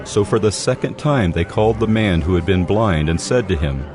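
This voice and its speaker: Casting: male narrator, lowish voice, measured scripture storytelling with clear music underneath. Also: expressive. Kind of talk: scripted audio Bible narration.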